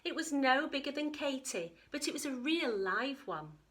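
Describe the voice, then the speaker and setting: A woman reading a picture book to young children in an expressive storytelling style, pausing for chatty questions and asides. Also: high voice. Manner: animated, addresses young listeners with questions. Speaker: teacher reading story time.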